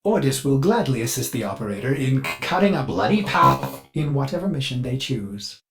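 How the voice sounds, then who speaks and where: A man performs an eager-to-please artificial mind that runs a spaceship in a video game. The delivery is very animated in pitch, servile and theatrical. It halts now and then amid elaborate courtesy. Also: over music, close to an everyday voice. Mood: helpful, chipper. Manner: overly polite, theatrical, servile, halting. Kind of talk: video game character dialogue.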